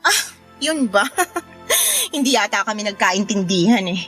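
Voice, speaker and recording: A woman's voice: medium pitch, huge pitch swings, measured pace, fairly bright tone, soft background music. An actress with a Filipino accent playing a sarcastic, spiteful, unrepentant neighbour woman in a radio drama. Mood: mocking, smug. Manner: mocking, flippant, dismissive.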